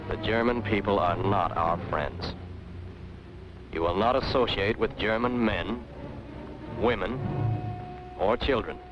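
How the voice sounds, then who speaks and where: An American man reading a scripted 1940s wartime documentary narration in a stern, emphatic way, with clipped dramatic phrasing. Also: on the low side. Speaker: propaganda film narrator. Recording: dull 1940s archive film soundtrack, music.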